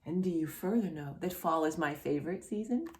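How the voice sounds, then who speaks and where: A woman hosting a read-aloud storytime for young children, speaking in an animated way as if straight to the child listening. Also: low-pitched, fairly quick. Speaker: children's storytime host reading a picture book aloud.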